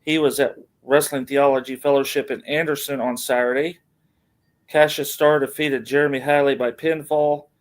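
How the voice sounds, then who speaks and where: A man's voice: medium pitch, flat delivery, normal speed. Middle-aged man hosting a casual wrestling podcast. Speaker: podcast host. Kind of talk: casual wrestling news rundown.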